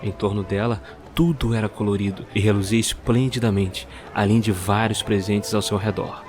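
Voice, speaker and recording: A man narrating a scripted storybook tale for an audio drama in a measured storyteller's manner. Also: on the low side, fairly even intonation, over music.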